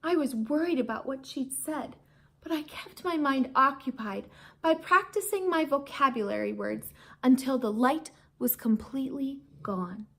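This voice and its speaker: Slow, high-voiced woman reading a children's story. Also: lively intonation, vocal fry. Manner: theatrical, emphatic, inviting listeners to join in. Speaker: teacher reading aloud to children. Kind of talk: expressive children's storybook narration.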